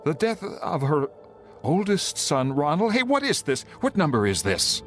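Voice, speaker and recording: An actor playing a frightened, unraveling male traveler in a radio play, with a dramatic, halting delivery. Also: fairly high pitch, huge pitch swings. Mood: shocked, disbelieving. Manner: dramatic, halting and stammering when distressed. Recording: music bed behind voice.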